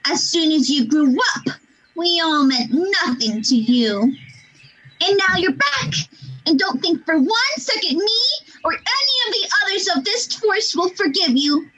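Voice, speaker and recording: A woman's voice: very high voice, sing-song, unhurried, a bit bright, a little hiss or hum, full character voice. A woman voicing a proud, wounded teddy bear knight who speaks in grand, indignant proclamations in an amateur radio play. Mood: bitter. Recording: dull lo-fi recording.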